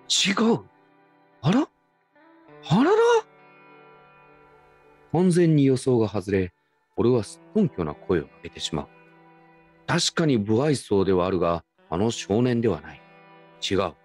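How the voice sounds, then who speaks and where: A man narrates a radio drama in the first person from a script. His voice is low, and guitar music plays softly behind him.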